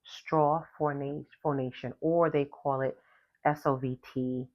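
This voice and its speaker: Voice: mid-range voice, fairly even intonation, measured pace. Emphatic singer hosting a vocal care lesson. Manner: emphatic, repeats key words, spells out terms. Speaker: podcast host and singer. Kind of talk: casual instructional talk on vocal care. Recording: slightly dull lo-fi recording.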